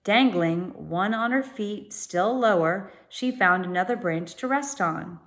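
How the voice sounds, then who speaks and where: A woman's voice: mid-range voice, a bit bright. Woman reading a children's story aloud. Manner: pauses to explain words to listeners. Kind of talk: storybook narration with short explanatory asides.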